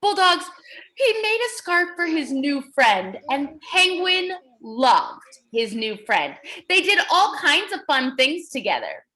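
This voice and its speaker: A woman reading aloud to young children as their teacher, encouraging and exaggerated, pausing to ask questions. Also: high-pitched, big pitch swings, bright, forceful tone, rough recording.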